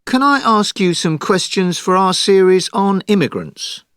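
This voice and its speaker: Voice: medium pitch, lively intonation, a little slow, a bit bright, smooth, no echo, studio quality. An interviewer asking short questions in a scripted textbook dialogue, often starting with a hesitant "uh".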